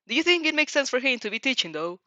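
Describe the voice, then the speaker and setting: A woman's voice: high-pitched, very animated, fast, bright, forceful tone, no room sound, lo-fi sound. A woman hosting a casual fanfiction read-aloud, hesitant and giggly, often correcting herself as she goes. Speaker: host of fanfiction reading show.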